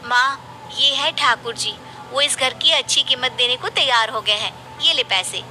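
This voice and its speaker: A woman's voice: high voice, a little slow, bright, forceful tone, slight background noise, exaggerated voice. A woman voicing a pushy, scheming, sweet-talking young daughter in a moral story cartoon, wheedling and insistent. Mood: persuasive. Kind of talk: animated moral story dialogue.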